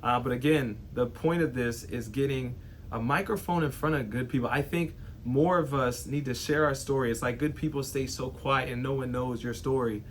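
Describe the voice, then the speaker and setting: A man's voice: medium pitch, normal speed, a little hiss or hum. A young man hosting a show, giving an earnest, heartfelt monologue full of "you know" and "right". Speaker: host.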